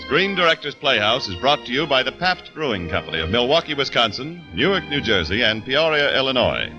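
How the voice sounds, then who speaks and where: A man announcing on old-time radio, reading sponsor copy and credits in a smooth, formal delivery. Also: mid-range voice, very animated, brisk, muffled recording. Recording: old radio broadcast with music.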